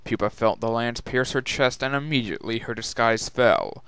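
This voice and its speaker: A man's voice: mid-range voice, lively intonation, normal speed, a bit bright, clean tone, dry recording. A man narrating a scripted story as a dramatic reading. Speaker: narrator and reader.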